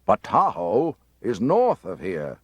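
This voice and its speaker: A man voicing a slow-witted, stern male army officer barking questions and orders. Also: fairly low pitch, wildly swooping pitch, no room sound, exaggerated voice. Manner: demanding, slow frowning.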